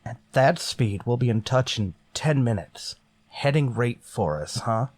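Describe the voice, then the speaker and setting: Give the part to a stern starship captain from a golden age sci-fi radio play. He is wary and commanding, with clipped delivery and a medium-pitched voice. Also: lively intonation, unhurried. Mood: grim, wary. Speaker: actor.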